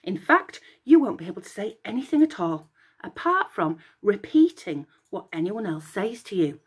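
A woman voicing an impatient, cross goddess queen in a storybook, sharp and demanding. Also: mid-range voice, big pitch swings, a little slow, full character voice. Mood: furious. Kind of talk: storybook reading voice.

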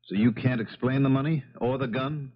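An actor playing a steady, probing police investigator in a 1970s radio drama. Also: male voice, medium pitch, normal speed, lightly acted. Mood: probing, grave. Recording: dull vintage radio recording.

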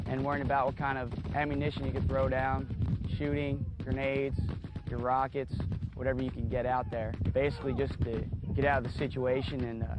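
Flat-toned man over loud gunfire. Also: mid-range voice. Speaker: military team member interviewed. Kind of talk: unscripted, casual explanation. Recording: loud gunfire, very noisy film sound.

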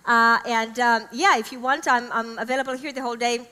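A Finnish woman in her thirties speaks at a conference with bright, lively enthusiasm. Her voice sits a little high and rings through a big hall with a long reverb tail. She talks into a headset mic, and English is not her first language. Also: a little fast, smooth. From Finland. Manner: enthusiastic, upbeat, gestures while talking. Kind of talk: keynote speech. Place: large conference stage.

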